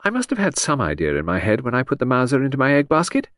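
A man's voice: mid-range voice, wildly swooping pitch, fast talker, fairly bright tone, no echo, studio quality, voice pushed up. A man voicing a vague, charming aristocratic older lady who talks in a breezy, scatterbrained gush. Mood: musing.

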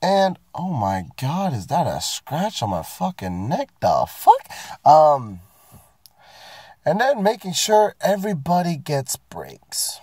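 A man's voice: fairly high pitch, huge pitch swings, slow, darkish tone, breathy edge, no room sound, clean studio sound. A man, getting sick, ranting casually and profanely about his work week from inside his parked car. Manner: profane, rambling, self-mocking. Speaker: vlogger. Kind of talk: casual rant to camera.

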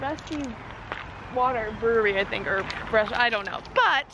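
A young American woman vlogging a road trip on a camera held at arm's length, excitable and chatty. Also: on the high side, moderate pace, background noise. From United States. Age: young adult. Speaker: travel vlogger. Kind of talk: casual travel vlog. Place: outdoors and in a van and restaurant. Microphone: handheld camera at arm's length.

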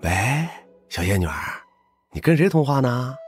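A man's voice: medium pitch, sing-song, normal speed, soft background music, close to an everyday voice. A man playing a cheeky, eager young buddy, playful and wheedling, in an audiobook drama. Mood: teasing.

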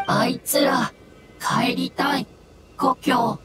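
A woman's voice: high-pitched, fairly even intonation, drawn-out words. A woman voicing a weary, timid fungus creature in an anime game, in feeble, fragmented speech. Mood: weary, longing. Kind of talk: Japanese anime game voice acting. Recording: loud music behind the voice.

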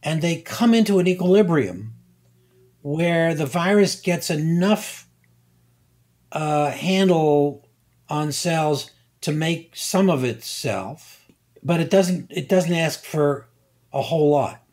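An older man, a guest scientist, explaining slowly and deliberately, pausing and repeating words as he thinks. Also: on the high side, animated, a little mellow. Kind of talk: unhurried expert explanation.

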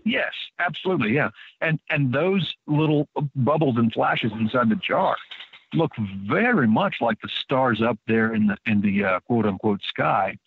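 A man as a radio show guest on a phone line, explaining informally in a measured way and repeating his points. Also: mid-range voice, slightly rough. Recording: muffled narrow phone line.

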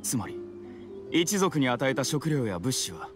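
An officious male samurai officer from an anime game. His mid-pitched voice swings with emphasis as he speaks curtly and formally in a commanding way. Music plays behind him. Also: sing-song, a little slow. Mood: stern, official. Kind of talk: Japanese anime game voice acting.

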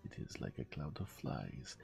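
A man's voice: rumbling low, sing-song, measured pace, gravelly, mellow, soft background music, full character voice. A man voicing a vain, precise middle-aged detective with a French accent who slips in French phrases. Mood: insistent.

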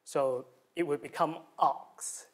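A young man with a Hong Kong accent presents his research, a native Cantonese speaker talking in English. He is animated and gestures a lot, with frequent fillers and restarts. He speaks into a lectern mic in a lecture room. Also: fairly high pitch, slow pace, low-quality recording. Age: young adult. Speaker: student presenter. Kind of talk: academic presentation. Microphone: stand mic at lectern.

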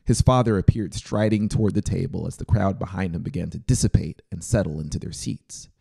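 A man in his thirties narrates dramatic fiction in a measured, expressive way, close to the mic. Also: sits low, gravelly, husky. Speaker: audiobook narrator. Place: small brick-walled home studio. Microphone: close handheld-style mic on boom stand. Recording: music playing behind the voice. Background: music.

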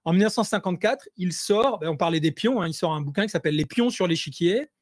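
A high-voiced man talks as an interview guest over a video call, his sound dry and close with no room around it. He stumbles through fillers and repeated words, then pushes hard and animated when he lands a point. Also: fast, no room sound. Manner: hesitant, many fillers and restarts; animated, rising intensity when making points. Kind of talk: conversational interview analysis.

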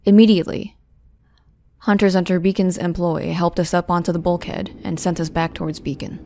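A woman's voice: on the low side, steady tone, a little fast, vocal fry, warm tone, no room sound. A woman playing a guarded, protective young woman, narrating an audio drama in the first person in a restrained, brooding, stern manner. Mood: reflective.

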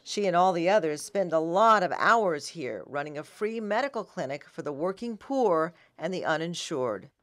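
Low-pitched American female news anchor. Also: animated, normal speed, few pauses, fairly bright tone. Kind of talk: scripted news narration.

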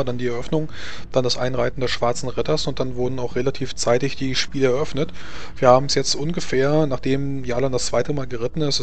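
A male game master with a medium-pitched voice narrates a tabletop role-playing session. He feels his way through the scene haltingly, thinking aloud as he describes it.

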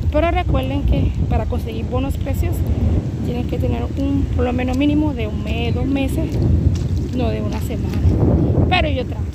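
A Puerto Rican woman chatting casually as she vlogs outdoors on a phone, admiring things and often repeating her phrases. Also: high-pitched. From Puerto Rico. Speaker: travel vlogger and local travel agent. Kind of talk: casual live walking chat. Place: open beach by the sea, outdoors. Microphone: handheld phone. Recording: windy outdoor phone recording, loud noise.

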